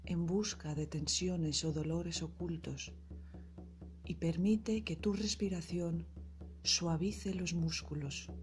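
A woman narrating a scripted guided meditation in a soothing, soft, breathy and unhurried manner. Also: fairly low pitch, fairly even intonation. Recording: loud percussive meditation music behind voice.

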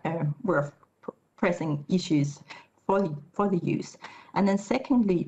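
A middle-aged woman giving a keynote over a video call, speaking in a measured way with frequent fillers. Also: on the low side, creaky, darkish tone, muffled recording. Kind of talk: conference presentation. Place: indoor room, video call.

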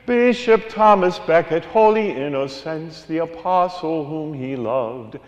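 A male priest giving a homily, singing a long list of saints and then explaining it in a relaxed, conversational way. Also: a little high, measured pace, long reverb tail, dull audio. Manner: sings a long cumulative list, then talks plainly and conversationally. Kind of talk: homily with a sung carol.

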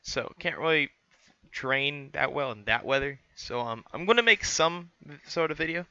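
A young man talks through a how-to in a loose, rambling way, stopping and restarting often. His voice is smooth and very bright. It comes through a headset mic in a small, dry room, with a slightly dull sound. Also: a little high, lively intonation, normal speed, dry recording. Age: young adult. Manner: rambling, many fillers and restarts. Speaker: student pilot vlogger. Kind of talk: casual how-to explanation. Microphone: headset boom mic.

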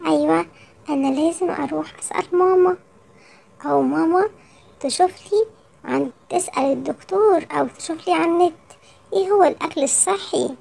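Woman voicing a high-pitched greedy Egyptian lion cub boy. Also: brisk, creaky, pauses often, darkish tone, heavily acted. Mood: dismayed, resolving. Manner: childlike, emphatic repetition. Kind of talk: children's cartoon storytelling.

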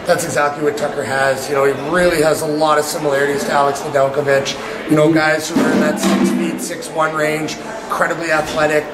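A man in his thirties, a hockey head coach, answering post-game media questions in halting, repetitive phrasing. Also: on the high side, little pitch movement, reverberant, over loud music, poor audio. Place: indoors in a small media room before a sponsor backdrop.

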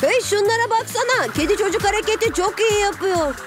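A small cartoon superhero boy with a very high pitch. He is good-natured and earnest, exclaiming over loud music. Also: animated, brisk. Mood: pleased. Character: small, good-natured six-year-old boy superhero in lizard costume. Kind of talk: animated cartoon dubbing.

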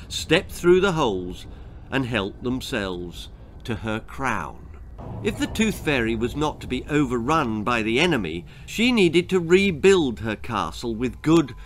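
A man's voice: mid-range voice, a bit bright, a little hiss or hum. A man in his sixties narrating a children's story in a theatrical, emphatic manner. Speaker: author reading his own stories. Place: small indoor room lined with books.

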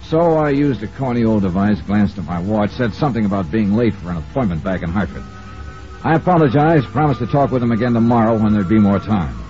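A male actor plays a brisk American insurance investigator in a 1950s radio drama. His delivery is wry, clipped and hard-boiled, with lively swings in pitch. The old broadcast sounds muffled, with some music. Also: close to an everyday voice. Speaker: star of a radio detective serial. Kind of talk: 1950s radio acting, first-person narration.

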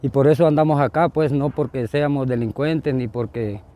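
A middle-aged man speaks in a very dark, mellow voice at an ordinary pitch for a man. He tells his story haltingly, stumbling over and repeating words as he restarts his sentences. He was recorded outdoors by railway tracks on a close handheld camera. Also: steady tone. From Honduras. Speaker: migrant interviewee. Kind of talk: personal testimony.

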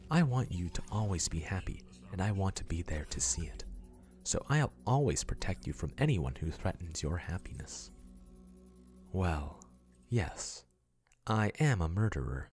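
A young man voicing an obsessive chatbot turned human lover in an ASMR roleplay, speaking softly and dotingly with a possessive edge. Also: low voice, big pitch swings, measured pace. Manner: soft, doting, then coolly possessive.

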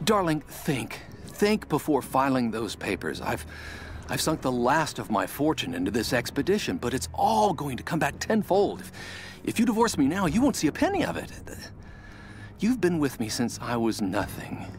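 Vain, animated celebrity explorer. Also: male voice, medium pitch, a little hiss or hum. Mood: pleading, desperate. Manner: halting, self-important, theatrical. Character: vain, scheming, desperate male celebrity explorer. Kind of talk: dramatic game monologue. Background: music.

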